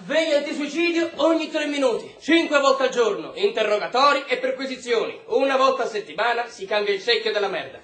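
Uomo che recita ufficiale militare brutale, voce alta. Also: male voice, extremely high pitch, fairly bright tone. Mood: stern, commanding. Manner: barking, contemptuous, commanding. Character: brutal foul-mouthed male military drill officer briefing soldiers. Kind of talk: stage drama acting. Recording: roomy, echoing stage space.

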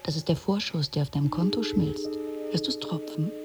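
An actress playing a wry, needy blocked novelist in an intimate, wheedling radio play monologue. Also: female voice, deep voice, normal speed, over loud music. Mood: quietly menacing. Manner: intimate, wheedling, often dropping to a whisper. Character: blocked female novelist coaxing her absent muse, wry and needy.

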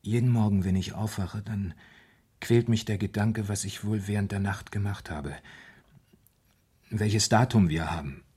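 An actor in a German radio crime drama playing a troubled man who speaks hesitantly and haltingly about his fears. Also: on the low side, a little mellow, breathy edge. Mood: anxious, tormented. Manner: hesitant, halting, repeating himself. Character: anxious, troubled man confiding a dreadful fear.

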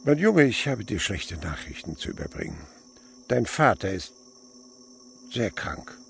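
Miserly old patriarch, wildly swinging pitch. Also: male voice, sing-song, a little slow, creaky, a bit bright, a little hiss or hum, heavily acted. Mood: grave, blunt. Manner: blunt, scornful, lecturing, abrupt swings in delivery. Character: miserly, callous old provincial patriarch, domineering father. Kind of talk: German radio play acting.

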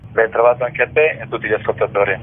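Husky man, halting, on a telephone line. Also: fairly low pitch, steady tone. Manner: halting, repeating words as he explains. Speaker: theatre actor and adapter interviewed as guest. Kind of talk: radio interview. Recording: muffled phone call on radio.

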